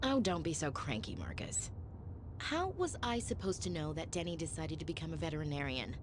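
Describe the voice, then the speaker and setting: An actress playing a spirited, supportive young woman surgeon in an animated game drama, expressive and earnest. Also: low voice, fairly quick, background noise. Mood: playful, assertive.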